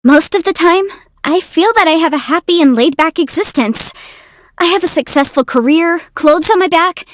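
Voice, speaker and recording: A woman voicing a young, quick-witted half-immortal legal adviser in a video game, articulate, self-assured and playful. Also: very high voice, no room sound. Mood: contented. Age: young adult. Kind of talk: video game character voice lines.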